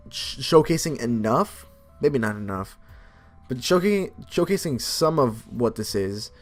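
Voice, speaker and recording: A man doing a casual let's play of a game, moving between commentary and reading aloud. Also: mid-range voice. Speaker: game video creator. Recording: music behind the voice. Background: game music.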